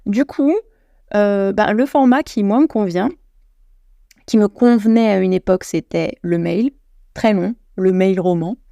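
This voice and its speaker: French woman host, solo podcast chat, self-correcting, warm mellow voice. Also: mid-range voice, a little slow, creaky, no room sound, clean studio sound. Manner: chatty, self-deprecating, many restarts and self-corrections.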